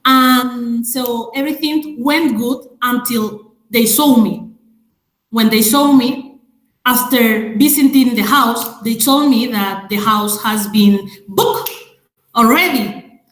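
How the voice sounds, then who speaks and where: A woman with a Madrid Spanish accent, speaking in a webinar and pausing often with fillers. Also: on the high side, reverberant, rough recording. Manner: slow, hesitant, many fillers. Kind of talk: webinar talk in English.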